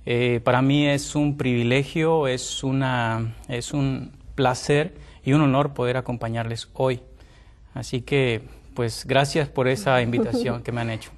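A middle-aged man from Mexico, a naturopathic doctor and former pastor, speaking politely as a TV guest with many fillers and pauses. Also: mid-range voice, steady tone. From Tamaulipas, Mexico. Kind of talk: TV interview guest.

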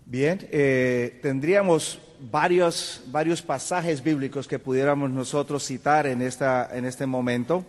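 A man from Colombia, a pastor and theology professor, explaining in a measured way on a panel. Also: medium pitch, fairly even intonation. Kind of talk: panel discussion. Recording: long hall reverb.

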